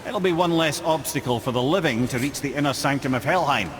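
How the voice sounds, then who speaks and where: An actor playing a wry, knowing older guide in a video game. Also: male voice, medium pitch, a little fast, noisy background, natural voice. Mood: ominous, explaining. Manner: wry, explanatory, conversational. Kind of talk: dramatic video game acting.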